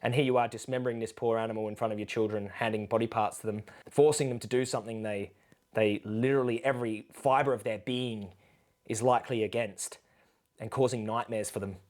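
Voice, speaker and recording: A man's voice: fairly low pitch, steady tone, fairly quick, a little mellow. A man giving unscripted reaction commentary as an animal rights advocate, earnest and admonishing, often posing rhetorical questions.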